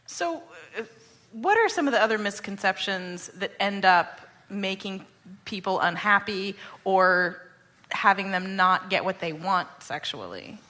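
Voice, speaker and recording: A woman radio host with a bright, clear-cut voice at an average pitch. She interviews in a conversational style, feeling her way through each question. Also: expressive, unhurried.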